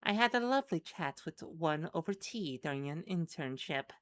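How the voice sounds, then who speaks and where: A woman's voice: sits low, animated, normal speed, no room sound. Woman as a pompous, wordy otherworldly philosopher. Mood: blithe, lecturing. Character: genteel, wordy, philosophical otherworldly companion, blithely calm about death.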